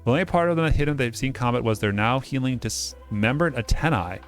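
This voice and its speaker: A man's voice: mid-range voice, brisk, soft background music. A man giving casual, digressive gaming commentary, joking around and reading on-screen text aloud. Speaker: game playthrough host.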